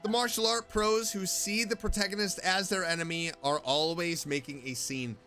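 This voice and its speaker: A man streaming a game, reading story text aloud in an expressive way and reacting to it. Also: high voice, moderate pace, soft background music. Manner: expressive, animated.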